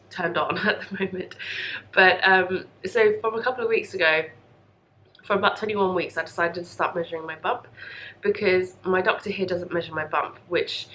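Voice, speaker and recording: Casual female podcast host, young, lowish voice, congested with a cold, chatty and rambling, some room noise. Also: sharp tone. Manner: rambling, self-deprecating, apologetic. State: congested and coughy from a lingering cold. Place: home craft room.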